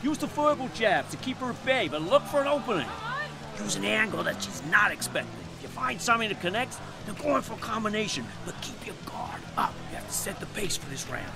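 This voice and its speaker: A man's voice: high-pitched, sing-song, fairly quick, creaky, music in the background, exaggerated voice. An actor playing a commanding, exhorting boxing cornerman in a comic audio drama. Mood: urgent coaching. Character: gruff, urgent male boxing cornerman coaching a dater.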